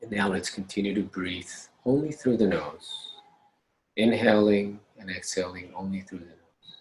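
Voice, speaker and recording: Low, slow-paced man guiding meditation. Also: slight background noise, poor audio. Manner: calm, unhurried, with short measured phrases and long pauses. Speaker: meditation instructor.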